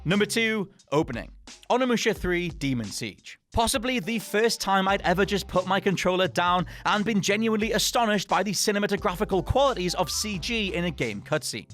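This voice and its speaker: A man's voice: high voice, a bit bright. A man presenting a scripted countdown list as a narrator, with an emphatic, dramatic delivery. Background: music under the voice.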